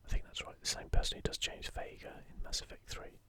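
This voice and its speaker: A man speaking in a soft, breathy whisper, gently musing in a casual ASMR show-and-tell close to the microphone. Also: brisk. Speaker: host of an ASMR gaming channel.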